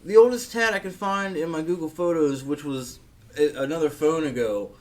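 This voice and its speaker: A man's voice: fairly high pitch, moderate pace, a little mellow. A man who is a tattoo artist, a guest on a casual podcast, rambling in a laid-back way with plenty of swearing. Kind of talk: casual chat. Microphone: podcast microphone.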